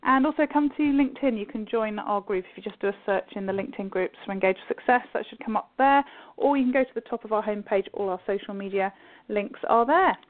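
A woman hosting an interview podcast, chatty and quick with asides. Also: medium pitch. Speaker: podcast host. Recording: phone-like call audio.

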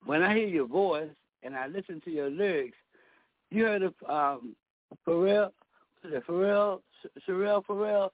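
A man, himself a radio host, calling in and hyping up the guest with emphatic, drawn-out praise. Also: high-pitched, no room sound. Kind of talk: casual radio chat. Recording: dry tinny phone line.

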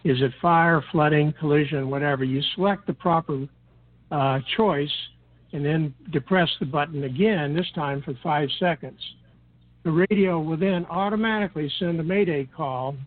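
Guy explaining procedures step by step, kinda high voice, measured, sounds like a tinny phone call. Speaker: guest explaining radio procedures. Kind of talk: instructional explanation. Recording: tinny narrow-band phone-like line.

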